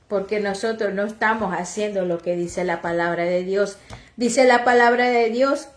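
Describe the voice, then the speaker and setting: A woman from Oaxaca whose first language is Chatino, slowly reading scripture and preaching, with halting restarts and self-corrections as she translates. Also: medium pitch, roomy sound. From Oaxaca, Mexico. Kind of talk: slow Bible reading and sermon, translated into Chatino.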